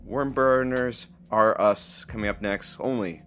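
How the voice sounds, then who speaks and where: Low-voiced, awkward stand-in radio announcer. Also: male voice, steady tone, a little slow, fairly bright tone, faint music behind. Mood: flustered. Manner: hesitant, fumbling. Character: awkward, flustered sidekick filling in as radio announcer. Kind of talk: audio drama acting, announcing.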